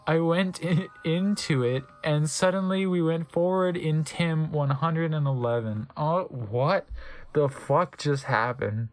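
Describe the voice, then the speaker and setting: A man's voice: fairly high pitch, unhurried, no room sound. A man narrating a comedic dramatic reading in a theatrical, mocking way with wry asides.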